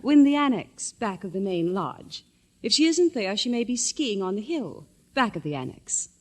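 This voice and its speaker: A woman's voice: medium pitch, big pitch swings, moderate pace. A woman playing a matter-of-fact ski lodge figure in a 1940s radio drama.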